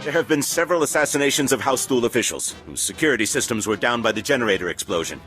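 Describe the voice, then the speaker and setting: A man's voice: medium pitch, animated, racing, over music, close to an everyday voice. A man playing a dutiful, alarmed military officer in a video game, reporting in an urgent, clipped way. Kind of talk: video game dramatic acting.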